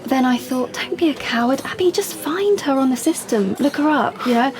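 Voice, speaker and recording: An actress playing an anxious, defensive young British woman in an audio drama, speaking in a breathy, hesitant way. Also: high voice, animated, normal speed, crowd noise. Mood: nervous, rambling. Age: young adult. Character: anxious, defensive young pregnant woman, confiding yet pushy. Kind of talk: audio drama monologue and dialogue.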